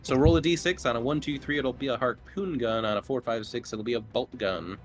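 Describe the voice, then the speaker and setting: A man's voice: medium pitch, fairly quick. A man running a tabletop horror game as game master, narrating casually and feeling his way through explanations. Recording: faint music under the voice. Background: music.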